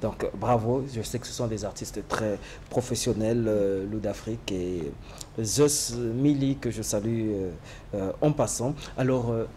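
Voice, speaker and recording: Mellow, hesitant male TV host. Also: lowish voice, a little hiss or hum. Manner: halting, many "euh" fillers. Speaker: TV show host. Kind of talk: TV interview hosting.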